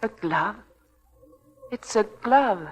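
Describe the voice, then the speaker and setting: An actress playing a fragile, frightened young Victorian wife in 1940s radio drama style, hesitant and pleading. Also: female voice, medium pitch, expressive, drawn-out words, slightly rough, heavily acted. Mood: wary, weak. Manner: hesitant, pleading, breaks off and repeats words.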